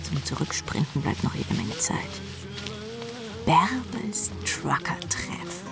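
A sardonic woman rock drummer thinking aloud in a radio drama. Her low voice crackles with fry and often drops to an intimate half-whisper. Music runs underneath. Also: animated, slow, full character voice. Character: dreamy, sardonic female rock drummer narrating her thoughts. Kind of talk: radio drama inner monologue.

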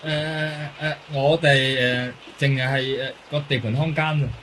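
A man's voice: mid-range voice, fairly even intonation, a little slow, a bit bright, slight background noise, close to an everyday voice, poor audio. A man with a Hong Kong accent playing a deferential, hesitant policeman in a radio drama. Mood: hesitant, uneasy. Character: deferential male policeman at a crime scene.

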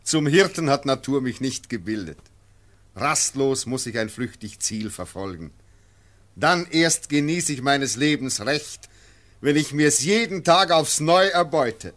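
A steadfast hunter and father, speaking old verse with firm resolve. His voice sits at an ordinary middle pitch, and he sounds unshaken. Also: lively intonation, measured pace, fairly bright tone. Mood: resolute. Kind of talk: classic radio drama.

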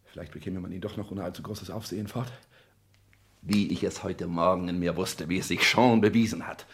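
An actor in a 1960s German radio drama playing a pompous, anxious social climber, agitated and scheming, in theatrical declamation. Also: male voice, low voice, fairly even intonation, brisk, breathy edge, full character voice.

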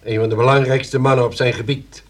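A man playing a shrewd, sardonic police commissioner in a Dutch radio play, speaking formally with probing, dryly ironic questions in a husky voice. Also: mid-range voice, little pitch movement, fairly quick, close to an everyday voice. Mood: dryly questioning.

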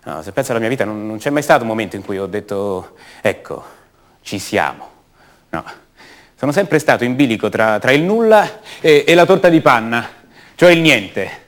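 An actor playing an ordinary, self-doubting man back home, speaking a halting, conversational monologue in a radio drama. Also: mid-range voice, animated, fairly bright tone, natural voice. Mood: wry, musing. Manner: halting, conversational, rhetorical questions to the listener.

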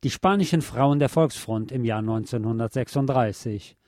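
A man narrates a scripted history programme in a measured, formal way. His voice is soft-edged and mellow, recorded very dry and close, with almost no room sound. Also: medium pitch, no room sound. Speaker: radio narrator.

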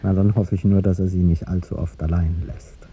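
An actor playing an aging president in a 1950s German radio play, a resigned older lover speaking with hesitant, dry irony. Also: male voice, low voice, flat delivery, fairly quick, dark tone, slightly rough. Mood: wry, wounded. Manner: understated, hesitant, dryly wry. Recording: dull, noisy 1950s radio recording.

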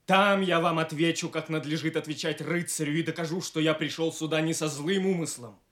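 An actor playing a proud, defiant noble knight, declamatory and commanding, in a theatrical radio play. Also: male voice, a little high, steady tone, brisk, fairly bright tone, close to an everyday voice.

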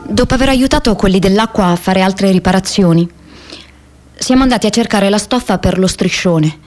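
A woman's voice: medium pitch, normal speed, darkish tone, soft background music, lightly acted. An actress playing an idealistic young American woman activist in a radio theatre monologue, telling her diary expressively in the first person. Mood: grave recounting. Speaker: stage actress.

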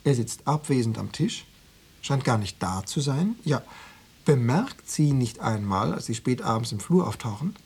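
A secret police interrogator in a radio play, with a slightly husky, medium-pitched voice. He probes and coaxes in cool, formal sentences, always with a veiled threat underneath. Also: lively intonation, moderate pace, a little mellow. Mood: probing. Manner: probing, coaxing, coolly formal with veiled menace. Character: insinuating male Stasi officer pressuring an informant. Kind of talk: German radio drama dialogue.

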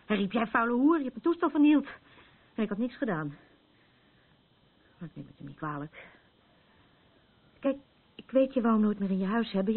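A woman's voice: medium pitch, husky. An actress in a radio play playing a worn-out, frayed woman who broods and repeats her phrases. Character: worn-out, frayed woman burdened by an unstable man. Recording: thin phone-like old radio recording, noisy.

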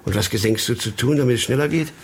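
An actor playing a sober, cautioning police detective in a radio crime drama, methodical and authoritative. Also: male voice, medium pitch, quick pace, husky, lightly acted. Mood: considering. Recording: music behind the voice.

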